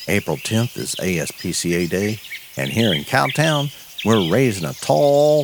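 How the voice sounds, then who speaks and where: A man's voice: on the low side, background noise. A man playing a folksy cowboy mayor. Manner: folksy, welcoming cowboy talk. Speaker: storyteller and town mayor. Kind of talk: scripted audio story.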